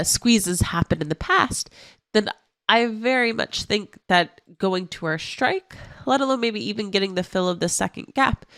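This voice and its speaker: A woman who sounds stuffy from a cold, walking through trading charts as an options analyst in a clear, explanatory way. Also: fairly low pitch, a bit bright, no echo.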